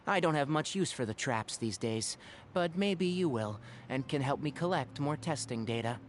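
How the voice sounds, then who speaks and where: An actor playing a caring young herbalist in a video game, with a matter-of-fact, mildly chiding manner. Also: male voice, medium pitch, lively intonation, normal speed, slight background noise, close to an everyday voice. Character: brisk, caring young male herbalist, gently scolding. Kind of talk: video game character voice acting.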